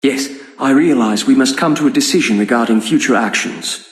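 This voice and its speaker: A man's voice: mid-range voice, a little fast, breathy edge, close to an everyday voice. A man playing an earnest, modest young nobleman in a video game, speaking formally and courteously. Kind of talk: dramatic video game voice acting.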